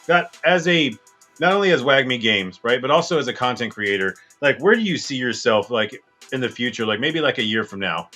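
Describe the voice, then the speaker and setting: A man's voice: medium pitch, animated, brisk, bright, forceful tone, soft background music. A male podcast host asking loose, filler-heavy questions in a casual interview.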